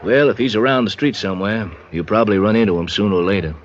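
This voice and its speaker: An actor playing a laconic, world-weary bar worker in a radio drama, evasive and resigned. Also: male voice, fairly low pitch, quick pace, a little hiss or hum, lightly acted. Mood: guarded, resigned. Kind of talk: 1950s radio acting. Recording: dull old radio recording, faint music. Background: faint music.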